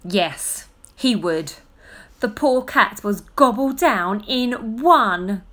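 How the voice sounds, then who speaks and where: Casting: female narrator for children's books, unhurried and highly expressive, stretching key words for drama. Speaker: storyteller reading aloud to children. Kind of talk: animated children's story narration.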